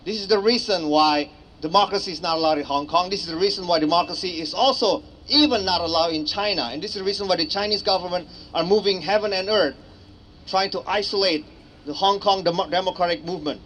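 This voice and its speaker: Male activist, Indonesian accent, slightly high voice, earnest and emphatic public speech over some background noise. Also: quick pace, a bit bright. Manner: earnest, emphatic, repeats phrases for effect. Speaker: activist speaker at a rally. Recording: clearly noisy ambient public recording.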